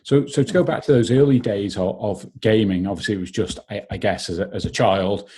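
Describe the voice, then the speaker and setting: A man with a Manx English accent hosting a business podcast, measured and polite, a little hesitant when asking questions. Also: lowish voice, warm tone, breathy edge. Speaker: podcast host.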